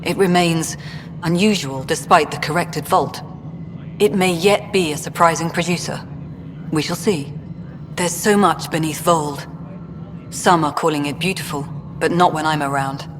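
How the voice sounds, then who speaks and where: An actress playing a stern, pragmatic colonial director in a video game, speaking in a clipped, dry, businesslike way. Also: female voice, low-pitched, a little slow, music in the background. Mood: dry, guarded. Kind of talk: video game dialogue acting. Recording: hall reverb with clear background noise.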